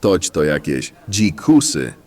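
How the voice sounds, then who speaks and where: Man playing a low, husky, cynical bandit. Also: big pitch swings, slow pace, a little mellow, heavily acted. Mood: reasoning. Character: gruff, cynical, calculating male bandit in a fantasy world. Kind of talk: fantasy audio drama acting.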